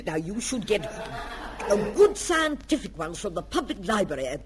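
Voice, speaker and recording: An actress playing a flattering, scheming wife, sugary and cajoling, in old-time radio comedy style. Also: female voice, mid-range voice, normal speed, lightly acted. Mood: sweetly cajoling. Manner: sugary, cajoling, affectionate "dear". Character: gushing, flattering, scheming middle-aged wife. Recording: old radio recording with background hiss.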